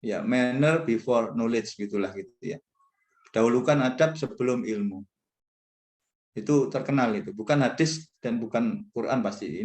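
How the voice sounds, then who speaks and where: A man's voice: medium pitch, darkish tone. Male professor, mid-40s, informal mentoring lecture, self-effacing and conversational, mid-range voice, roomy echo. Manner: conversational, rambling, self-deprecating, frequent tag words. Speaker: university professor speaking as guest mentor. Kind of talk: informal religious lecture to students. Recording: echoey, roomy sound.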